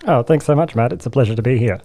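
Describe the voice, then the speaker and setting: An Australian man in his thirties, talking as a podcast guest. His voice sits at a middle pitch with a mellow, warm colour. He rambles easily, with plenty of ums and restarts. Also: fast. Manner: chatty and self-deprecating, many fillers and restarts. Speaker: guest, speech and language therapist. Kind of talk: casual podcast interview.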